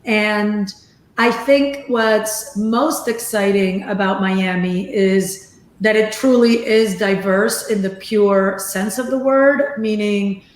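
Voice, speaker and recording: A woman's voice: medium pitch, slow, roomy sound. A woman from Venezuela speaking as an investor on a panel, in a thoughtful, articulate way. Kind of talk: panel discussion.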